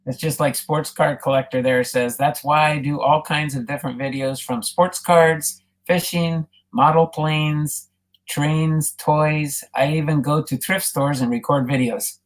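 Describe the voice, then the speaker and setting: An American woman with a very deep voice hosts a live stream. She talks in a casual, conversational way. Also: steady tone, measured pace, poor audio. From United States.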